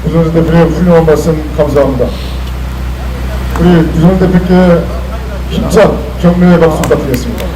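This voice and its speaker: A man's voice: a little high, fairly even intonation, fairly quick. A man working as rally emcee, making polite, formal introductions and announcements. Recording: echoey PA, distant, crowd behind.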